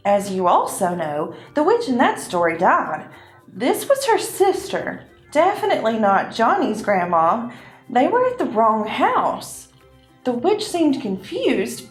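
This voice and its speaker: A woman narrating a children's puppet show in a storytelling style. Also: fairly high pitch. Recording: roomy echo with music behind.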